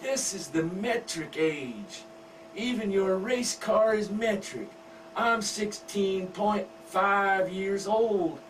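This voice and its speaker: A man's voice: lively intonation, takes it slowly, a bit bright, full character voice. A man putting on a whiny spoiled teenage country girl. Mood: wheedling. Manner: high pleading whine. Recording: distant mic with faint hum.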